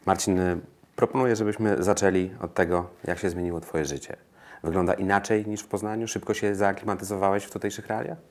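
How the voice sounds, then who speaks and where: A man's voice: sits low, fairly even intonation, fairly quick. A man working as a sports journalist, putting questions to a guest in an interview. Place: indoor interview room.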